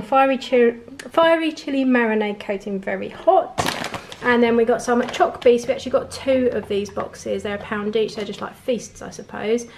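A woman's voice: on the high side, darkish tone, a little hiss or hum. A woman, chatting casually through a shopping haul from home, with frequent laughs. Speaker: vlogger. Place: home conservatory, indoors.

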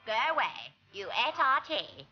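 A woman's voice: fairly high pitch, very animated, measured pace, muffled recording, faint music behind. A woman voicing grumpy farm animals in a children's storybook, scolding and dismissive. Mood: indignant.

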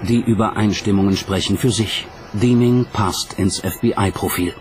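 A man's voice: fairly low pitch, steady tone, unhurried, music in the background. A man narrating a documentary from a script in a dramatic storytelling style.

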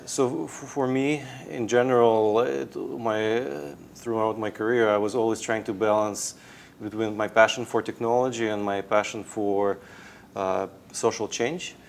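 A man's voice: lowish voice, fairly even intonation, a little slow, gravelly. A man in his thirties from Russia with a Russian accent, answering interview questions hesitantly with many fillers. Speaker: guest, tech founder. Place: indoor studio set. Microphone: lapel.

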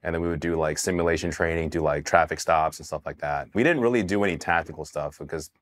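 A man with an extremely low voice speaks in a seated studio interview. He tells his story candidly and casually, swearing now and then and restarting his sentences. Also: fast talker. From Taiwan, raised in California. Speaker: Army veteran interviewee. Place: dark studio set, indoors. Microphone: hidden mic, seated on camera.

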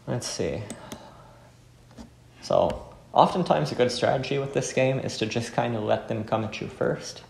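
A man's voice: mid-range voice, expressive, a little slow, vocal fry, long pauses, a little mellow. A man giving casual gameplay commentary as host, hesitant and self-effacing. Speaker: game playthrough host. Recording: roomy with faint music.

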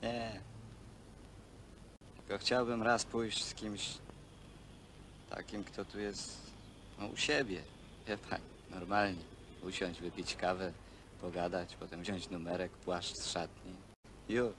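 An actor playing a lonely, bitter young hostel worker in a naturalistic film drama, speaking plainly and haltingly. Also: male voice, low voice, fairly even intonation. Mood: wistful, shy. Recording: noisy old film soundtrack.

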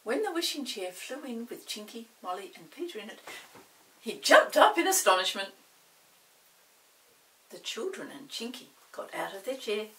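A woman reading a children's story in an expressive, measured way. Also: a little high, recorded from a distance, poor audio. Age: 60s. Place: small indoor set with dark backdrop.

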